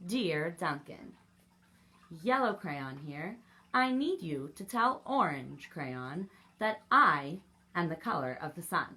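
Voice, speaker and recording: Woman acting out self-righteous storybook crayon, insistent, emphatic, bright, animated. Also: on the low side, takes it slowly, full character voice. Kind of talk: storybook read-aloud for children.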